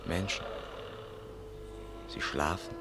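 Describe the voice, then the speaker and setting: An actor in a German radio play playing a deferential, composed manservant who speaks in measured, short descriptive phrases. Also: male voice, pushed and bright. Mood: calm, hushed. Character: composed, deferential male attendant Antonio guiding his master.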